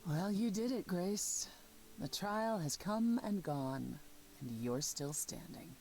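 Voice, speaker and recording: Low-voiced, composed immortal leader. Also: female voice, animated, darkish tone, low-quality recording. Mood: soft, reflective. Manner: measured, formal, deliberate. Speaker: voice actor. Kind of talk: dramatic dialogue in a story game.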